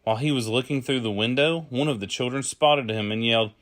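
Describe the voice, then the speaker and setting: A man reading a children's story aloud as a storyteller, with deliberate phrasing. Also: medium pitch, a bit bright. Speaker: storyteller, early childhood educator.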